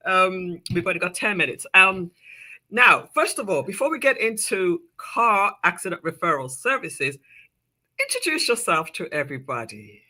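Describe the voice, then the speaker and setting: A woman's voice: fairly low pitch, sing-song, normal speed, pushed and bright. A woman hosting a local TV interview show, chatty and welcoming, often laughing. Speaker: host.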